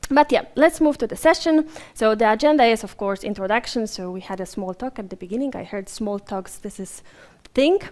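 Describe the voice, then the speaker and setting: A woman's voice: a little high, a little fast, darkish tone. Chatty Polish woman presenting on stage. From Poland. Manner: chatty and apologetic, with frequent fillers and restarts. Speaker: conference speaker. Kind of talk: live conference presentation. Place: conference room with a live audience. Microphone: handheld or stage microphone while moving around.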